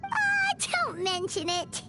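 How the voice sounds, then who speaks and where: A woman voicing a tiny, excitable childlike fairy companion with a squeaky voice in an anime-style game. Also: takes it slowly, over loud music, heavily acted. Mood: bashful.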